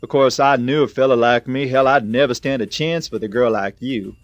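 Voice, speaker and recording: An actor playing a folksy, self-deprecating, smitten analyst in an audio drama, a little flustered. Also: male voice, mid-range voice, fairly quick, close to an everyday voice. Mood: flirtatious, rueful.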